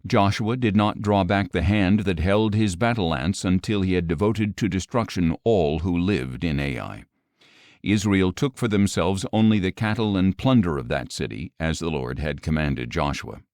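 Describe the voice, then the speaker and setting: Deep-voiced man reading scripture, dry sound. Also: normal speed, darkish tone, dry recording. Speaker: audiobook Bible narrator.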